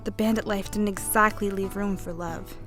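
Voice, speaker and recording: Actress as a western sweetheart narrator. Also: female voice, medium pitch, steady tone, normal speed, over music, close to an everyday voice. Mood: narrating, fond. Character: Aleta, beautiful songstress and devoted sweetheart narrating her western love story. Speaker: narrator and character. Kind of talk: western radio play narration and acted dialogue.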